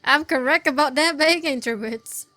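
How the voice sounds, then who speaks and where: A woman giving casual commentary as she plays a game, with informal, halting asides. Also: high-pitched, pushed and bright. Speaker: gamer commenting on a playthrough. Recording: game music playing underneath.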